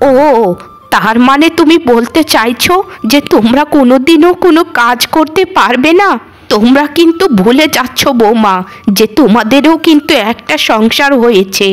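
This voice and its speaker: A woman's voice: sits high, lively intonation, normal speed. A woman voicing an elderly cartoon mother-in-law, weary and scolding, with a lamenting, nagging way of talking. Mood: scolding, exasperated. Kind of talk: Bengali cartoon voice acting.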